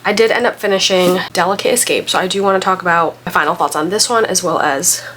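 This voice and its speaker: A woman's voice: fairly low pitch, fast, fairly bright tone, slight background noise. A woman vlogger getting over a head cold and still congested, chatting casually in a rambling way with lots of filler words. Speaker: book vlogger. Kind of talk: casual vlog chat.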